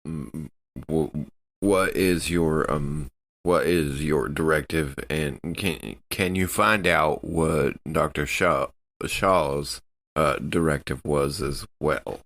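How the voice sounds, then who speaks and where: A man plays a befuddled deep-space crewman with a low voice and extremely slow delivery. He stammers on first sounds and drags his questions out haltingly, as a character in a tabletop game. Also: fairly bright tone, no room sound, full character voice. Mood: halting, puzzled. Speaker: player in a tabletop game podcast.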